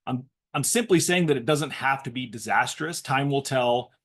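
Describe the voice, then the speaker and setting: A man speaking as a financial panelist, giving measured, analytical commentary on economics in structured explanations. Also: mid-range voice, a bit bright.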